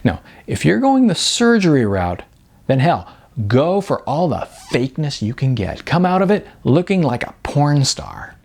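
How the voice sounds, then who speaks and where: Audiobook role: blunt, chatty female modeling agent, played by a man, lifted pitch, very lively delivery, gravelly edge. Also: measured pace, exaggerated voice. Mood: wry, candid. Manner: emphatic, patronizing, chatty, uses endearments. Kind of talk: audiobook character dialogue.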